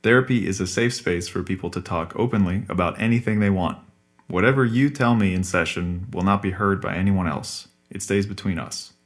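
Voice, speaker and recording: Formal, patient therapist for podcast drama, played by a man: low register, measured and explanatory. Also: close to an everyday voice. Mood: explanatory, reassuring. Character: calm, courteous male psychotherapist, patient and formal. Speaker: actor in a scripted podcast drama. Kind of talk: naturalistic audio drama acting.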